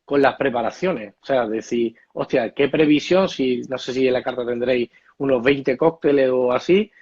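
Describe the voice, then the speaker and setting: A husky-voiced man hosts a casual online chat and asks long questions that wander through quoted asides. The audio is muffled and lo-fi, like a video call. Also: mid-range voice, moderate pace, darkish tone. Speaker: host bartender interviewer.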